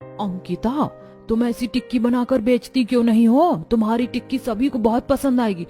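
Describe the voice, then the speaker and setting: Lady playing caring cartoon family woman, soft mellow high voice, expressive, bit of music behind. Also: moderate pace, natural voice. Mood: impressed, eager. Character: caring women of a poor cartoon family and neighbourhood.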